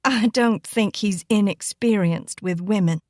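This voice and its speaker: A woman voicing a small, quietly defiant younger cousin in an audiobook, speaking calmly and pointedly. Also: mid-range voice, unhurried, dry recording, clean studio sound, lightly acted. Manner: calm, casual, pointed. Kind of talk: audiobook character acting.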